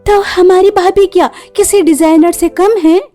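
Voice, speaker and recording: A woman's voice: extremely high pitch, steady tone, measured pace, mellow. A woman voicing a grateful, devoted young bride-to-be in a cartoon, emotional and pleading. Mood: delighted. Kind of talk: cartoon story dialogue. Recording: music behind the voice.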